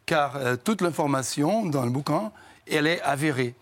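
A man's voice: on the high side, expressive, normal speed. A man speaking as an author guest, explaining his work in a hesitant interview manner.

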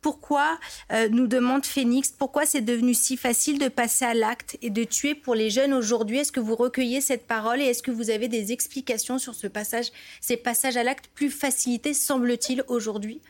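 A woman hosting a live talk show who reads out viewer questions and cuts in briskly on her guests. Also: fairly high pitch, steady tone. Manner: brisk, interjecting. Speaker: TV host.

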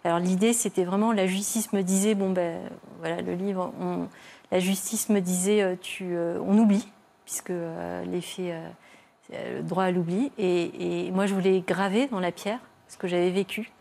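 An older woman speaking as a guest on a television talk show, giving a personal testimony with many pauses, fillers and restarts. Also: fairly low pitch, fairly even intonation, gravelly, warm tone. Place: television studio.

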